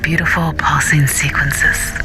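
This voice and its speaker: Young female spacefarer: slow, lowish voice, wry and casual, companionable captain, sci-fi audio drama with music beneath. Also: little pitch movement, low-quality recording. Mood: wistful.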